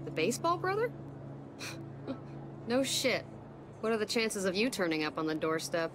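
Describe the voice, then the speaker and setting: Casting: actress as cheeky, brash woman soldier, mid-pitched and teasing, cinematic game, noisy vehicle ambience behind. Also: very animated, moderate pace, close to an everyday voice. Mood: amused, teasing. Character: brash, teasing female soldier, the security chief's right hand. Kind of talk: cinematic video game acting.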